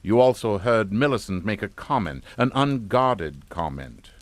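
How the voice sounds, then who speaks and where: An actor playing a politely menacing upper-class English father in a radio suspense drama, speaking in a clipped, formal, deliberate way. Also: fairly low pitch, expressive, no echo. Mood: calm menace. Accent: British.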